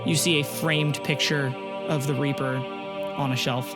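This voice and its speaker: A man narrating scenes as game master, speaking in a slow, measured way with many pauses. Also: mid-range voice, level tone. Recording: loud music bed.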